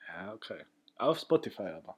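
Ein junger Mann mit österreichischem Akzent, Co-Host eines Podcasts, der locker plaudert, oft lacht und dazwischenredet. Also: male voice, fairly low pitch, very animated, normal speed, breathy edge, dull audio. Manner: teasing, laughs often, cuts in. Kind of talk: casual chat.

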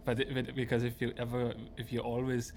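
A man's voice: mid-range voice, monotone, brisk, darkish tone, slight background noise. A man with an Austrian accent and German as his first language, talking reflectively as an architect being interviewed.

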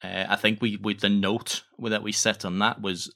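A man guest in a casual chat, explaining in a halting way and often repeating words as he goes. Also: fairly low pitch, little pitch movement, pushed and bright. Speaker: interview guest, audio fiction creator.

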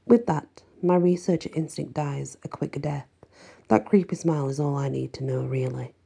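Very husky woman narrating an audiobook. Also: low-pitched, normal speed, warm tone. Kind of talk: expressive first-person fiction narration.

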